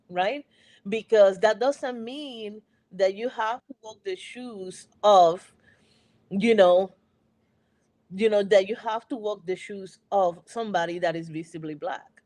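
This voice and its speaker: A woman's voice: mid-range voice, a little slow, warm tone. A woman from Puerto Rico with a Puerto Rican accent, a native Spanish speaker, speaking reflectively on a panel and often checking in with "right?". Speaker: panel guest. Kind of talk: discussion.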